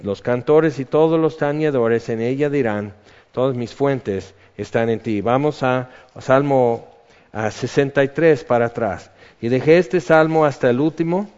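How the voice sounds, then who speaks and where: A man's voice: mid-range voice, unhurried, darkish tone, smooth. A man preaching a sermon in a conversational way, reading psalm verses aloud. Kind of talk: sermon, Bible teaching. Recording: dull reverberant hall recording.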